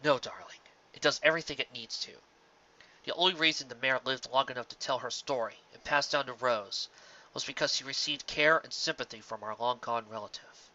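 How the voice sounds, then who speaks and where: A man voicing a gentle, sad pony father in a storybook reading, speaking in a hushed, tender, earnest way. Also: medium pitch, fairly quick, lo-fi sound.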